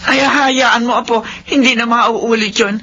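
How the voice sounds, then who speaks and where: A woman's voice: a little high, steady tone, a little slow, sharp tone. An actress playing a meek elderly grandmother in a radio drama, hesitant, pleading and apologetic. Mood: flustered, apologetic. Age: older. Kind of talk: melodramatic radio drama acting. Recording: muffled radio broadcast with background noise.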